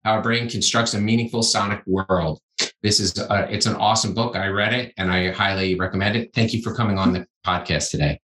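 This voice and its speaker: Guy hosting a podcast, upbeat and chatty, kinda gravelly-free bright tone, roomy echo. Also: fairly low pitch, fairly even intonation, a little fast. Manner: enthusiastic, chatty interviewer. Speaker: podcast host, ear surgeon. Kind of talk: podcast interview.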